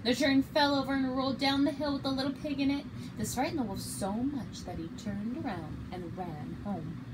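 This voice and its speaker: A woman's voice: sits high, moderate pace, heavy background noise. A woman in her twenties reading a children's picture book aloud as a storyteller. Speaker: story reader. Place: small bare indoor room.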